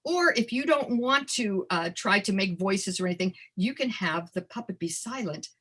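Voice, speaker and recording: A woman presenting a storytelling workshop in an animated, theatrical and encouraging conversational manner. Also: mid-range voice, moderate pace, breathy edge. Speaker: storytelling presenter and early literacy educator. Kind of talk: workshop demonstration with storytime performance.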